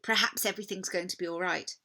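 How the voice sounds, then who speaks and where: A woman voicing a happy, trusting little girl in a storybook, earnest and excitable. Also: lowish voice, quick pace. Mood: happy, eager.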